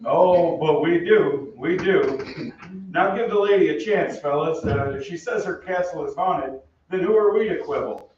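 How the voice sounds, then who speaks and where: An actor playing an amiable, wisecracking American soldier, slangy and emphatic, in old-time radio style. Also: male voice, on the high side, measured pace, darkish tone, natural voice. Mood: polite amusement. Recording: echoey stage, distant mic, muffled, faint noise.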